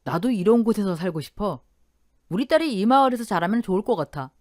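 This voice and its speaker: A woman's voice: medium pitch, expressive, quick pace, no echo, studio quality, lightly acted. A woman playing a practical, agreeable young husband and father in radio story dialogue.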